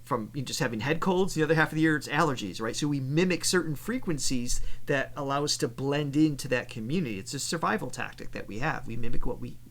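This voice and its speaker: A man's voice: medium pitch, quick pace, few pauses, a bit bright. A man from Rochester, New York, with a slightly nasal voice, a vocal coach explaining singing mechanics in casual, technical terms. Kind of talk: casual explanatory reaction chat.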